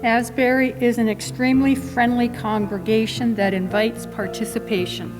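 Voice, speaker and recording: A woman's voice: on the high side, little pitch movement, normal speed. A woman reading short written church testimonials aloud as a member of a team. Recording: reverberant hall, music behind. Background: instrumental music.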